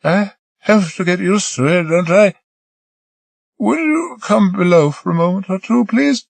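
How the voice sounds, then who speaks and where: A man reading an audiobook, voicing a spirited young woman who scolds and insists, his voice raised well above its usual pitch. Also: measured pace, creaky, no room sound, full character voice. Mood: insistent.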